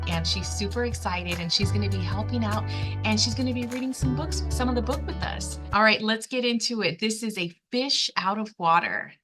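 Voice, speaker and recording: A woman hosting a children's storytime, talking directly to young listeners with excited, exaggerated reactions and questions. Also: fairly high pitch. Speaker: children's storytime channel host. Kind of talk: animated read-aloud commentary talking directly to young children.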